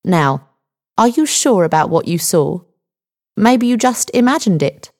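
A woman voicing a male police sergeant in a story, friendly but condescending and dismissive, with measured delivery. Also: mid-range voice, lively intonation, darkish tone. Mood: friendly, doubtful. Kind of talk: audiobook character dialogue.

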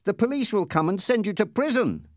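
A man's voice: high-pitched, big pitch swings, brisk, dry recording, studio quality, pitched above the performer's own voice. A man voicing a careful, reasoning boy who protests against bullies in an audiobook story. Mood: firm.

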